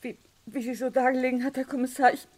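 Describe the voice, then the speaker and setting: A timid woman from a farm in a radio crime play. Her voice sits a little high, and she speaks in halting, stammered phrases, badly shaken. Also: little pitch movement, a little mellow. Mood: shaken, numb.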